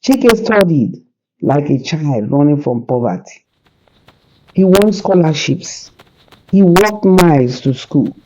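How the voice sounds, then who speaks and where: Dramatic folktale narrator, unhurried and really expressive, bit of hiss in the recording. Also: mid-range voice, low-quality recording. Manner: slow, deliberate, suspenseful phrasing. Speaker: storyteller. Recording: hissy background noise.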